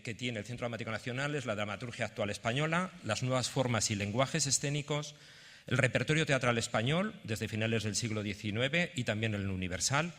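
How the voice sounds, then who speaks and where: A man from giving a halting conference presentation, partly reading it out. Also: mid-range voice, a bit bright. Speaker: theatre representative presenting a project. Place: indoor conference venue. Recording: reverberant conference hall.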